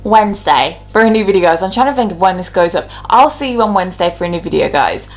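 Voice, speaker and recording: A woman's voice: on the low side, expressive, quick pace, faint music behind. A woman talking casually and informally as a video host, giving her opinions in a chatty, conversational way. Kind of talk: casual opinionated ranking commentary.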